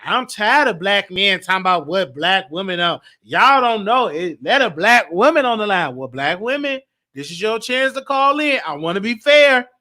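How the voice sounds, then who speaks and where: American man, high forceful voice, casual call-in host, animated, repeats himself. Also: normal speed. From Chicago, United States. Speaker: online call-in show host. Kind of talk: casual live chat.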